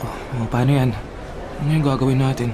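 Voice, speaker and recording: Fast-talking, earnest young Filipino man, played by an actor. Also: medium pitch, flat delivery, a crowd in the background, noisy background, natural voice. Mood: awkward, polite. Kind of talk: Filipino radio drama acting.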